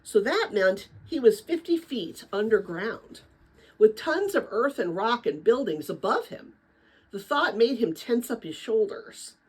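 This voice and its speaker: Female storybook narrator, animated and expressive, with a husky grain, reading middle-grade fiction dramatically. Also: on the high side, a little slow, low-quality recording. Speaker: read-aloud narrator.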